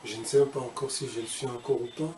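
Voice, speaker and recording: A man's voice: medium pitch, steady tone, fast, mellow, slight background noise. A man from Congo, a guest recounting his past in the church, speaking hesitantly and restarting phrases. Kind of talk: personal testimony in conversation.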